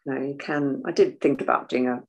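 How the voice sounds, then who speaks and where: Soft-voiced older woman, low pitch. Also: a little fast, dull audio. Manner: animated, waves arms while talking. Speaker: guest author and artist. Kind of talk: casual video call interview. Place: home room, indoors. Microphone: video call.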